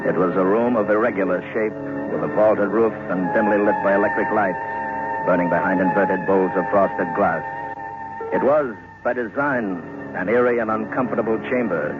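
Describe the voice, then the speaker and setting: A man narrating a scripted horror story for old-time radio in a deliberate, ominous, literary manner. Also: deep voice, narrow, tinny audio. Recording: thin old radio recording, music underneath.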